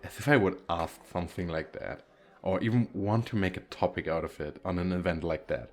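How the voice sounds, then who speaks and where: A man playing a shy, playful single dad on a speed date in an ASMR roleplay, talking casually with hesitant, self-deprecating, halting phrasing. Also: sits low. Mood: playful, teasing.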